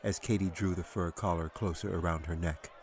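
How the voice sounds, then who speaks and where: Low-pitched male fantasy storyteller. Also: steady tone. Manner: measured dramatic storytelling. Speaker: author reading own audiobook. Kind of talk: scripted fantasy audiobook narration.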